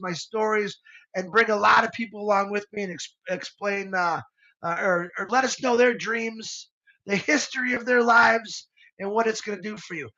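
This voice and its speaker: A man's voice: high voice, gravelly, bright, forceful tone, lo-fi sound. A man in his fifties, co-hosting a live stream, talking in a heartfelt, rambling way with lots of restarts. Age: 50s. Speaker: co-host of a live show. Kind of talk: casual live-stream talk.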